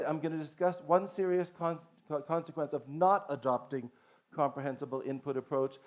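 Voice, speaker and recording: A man's voice: a little high, a little mellow. Lecturing man, dull lo-fi sound. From Boston. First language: English. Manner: earnest lecturing with frequent restarts and "okay". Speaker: conference presenter, language teacher. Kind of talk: conference talk. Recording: dull lo-fi recording.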